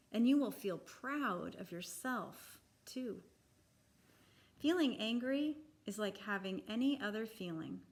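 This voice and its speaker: A woman reading a children's picture book aloud in a deliberate, expressive, instructive way for young listeners. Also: mid-range voice.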